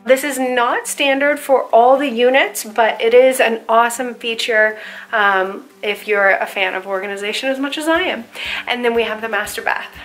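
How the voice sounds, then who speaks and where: Bright, high-voiced American woman presenting. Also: moderate pace, soft background music, low-quality recording. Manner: chatty, enthusiastic. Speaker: real estate agent hosting a house tour. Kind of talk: casual presenting to camera. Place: inside a furnished townhouse.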